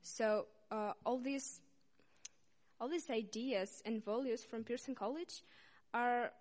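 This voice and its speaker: A young woman from Saint Petersburg, Russia, a student, speaking haltingly to a church congregation with many pauses and restarts. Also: fairly high pitch, fairly even intonation, darkish tone. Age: young adult. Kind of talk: informal speech to a congregation. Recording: reverberant hall.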